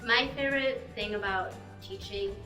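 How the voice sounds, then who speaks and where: A woman's voice: mid-range voice, moderate pace, pushed and bright, far from the mic. A woman teacher speaks earnestly about her class. She is heard a little away from the mic in an echoey room, with music underneath. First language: Spanish. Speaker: dual language teacher. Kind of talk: interview testimonial.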